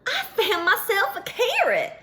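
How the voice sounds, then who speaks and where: A woman acts out a vain, starry-eyed storybook pony. Her voice is very high and bright, and she lingers over her words. She gushes dramatically and folksily, as if confiding in children. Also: huge pitch swings, a little slow, full character voice. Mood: excited, gushing. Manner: gushing, dramatic, folksy, addresses listeners as y'all. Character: excitable, vain, dreamy female pony who longs to be a unicorn. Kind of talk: animated first-person storytelling for children.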